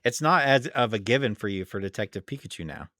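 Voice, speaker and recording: A man's voice: lowish voice, lively intonation, fast talker, pushed and bright, no echo, clean studio sound. A man co-hosting a podcast in casual debate, easygoing as he prompts his partner. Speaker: podcast co-host.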